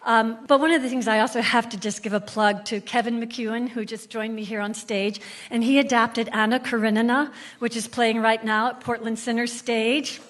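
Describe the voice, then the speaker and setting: A woman's voice: fairly high pitch, fairly even intonation, moderate pace, few pauses, a little hiss or hum. A woman talking animatedly and emphatically as a theatre guest in an interview on stage. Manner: enthusiastic, emphatic. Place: on stage before a live audience.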